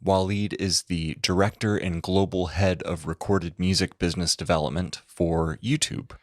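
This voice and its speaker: A man's voice: sits low, steady tone, normal speed, no room sound, clean studio sound. A man hosting a podcast, reading a polished introduction and asking questions. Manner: polished, scripted. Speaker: podcast host.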